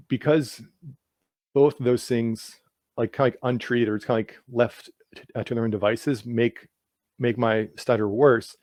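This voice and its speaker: A man's voice: mid-range voice, a little mellow, no echo, studio quality. A man who stutters, answering interview questions casually with many repeated sounds and frequent "like" fillers. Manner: stutters with sound and word repetitions; heavy use of "like" as filler. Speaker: guest author.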